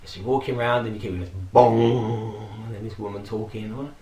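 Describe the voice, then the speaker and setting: Hoarse man reminiscing in an echoey room. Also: lowish voice, fairly even intonation, normal speed, mellow. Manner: rambling, reminiscing, with frequent laughs and fillers. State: hoarse from a throat infection. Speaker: vlogger. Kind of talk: casual personal tribute monologue.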